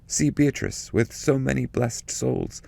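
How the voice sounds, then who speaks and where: A reverent holy man prays in an audiobook of epic poetry, acted by a male reader. His voice is lifted well above the reader's usual register, with a creaky edge. He declaims solemnly, pleading in measured lines. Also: medium pitch, darkish tone, pitched above the performer's own voice. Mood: earnest pleading. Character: reverent saintly holy man praying to the Virgin. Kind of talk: dramatic poetry reading, audiobook.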